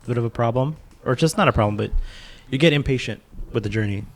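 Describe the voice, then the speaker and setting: A man's voice: mid-range voice, fast talker. Guy from california on a podcast, medium voice, chill chat, explains stuff as he goes. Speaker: podcast guest.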